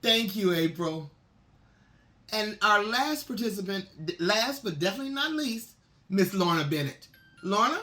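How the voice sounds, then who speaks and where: A man's voice: high voice, lively intonation, normal speed, bright, forceful tone. A man hosting an event, making announcements and thanking participants. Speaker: event host.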